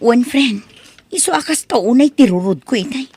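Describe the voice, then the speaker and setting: A woman with an Ilocano accent playing a sharp-tongued, headstrong young wife in an audio drama. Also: fairly high pitch, normal speed, husky, dry recording. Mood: complaining. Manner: expressive, complaining.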